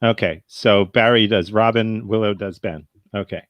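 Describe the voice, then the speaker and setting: A man giving halting, relaxed directions to performers in a live improv show. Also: lowish voice, dry recording, dull audio.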